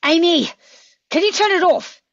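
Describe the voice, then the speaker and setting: A woman playing a quick-thinking, commanding adventurer doctor in a script read, with a husky voice and urgent manner. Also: very high voice, a bit bright, no echo, highs cut off.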